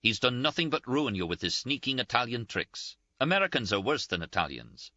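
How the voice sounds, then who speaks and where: A man voicing a tearful, scolding young Scottish nurse, shrill and sobbing in an audiobook. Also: sing-song, a little fast, pushed and bright, dry recording, dull audio. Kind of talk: audiobook character voice.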